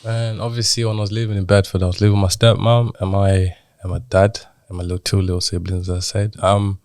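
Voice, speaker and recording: A young man with a deep voice, recorded close and dry, tells his life story in an interview. He is from Zimbabwe and talks in colloquial British street slang, peppered with little check-in phrases. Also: level tone, fast talker, a little mellow, dry recording. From Zimbabwe, moved to England in childhood. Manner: colloquial British street slang, frequent "you get me" tags. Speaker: podcast guest. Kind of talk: casual personal storytelling in an interview.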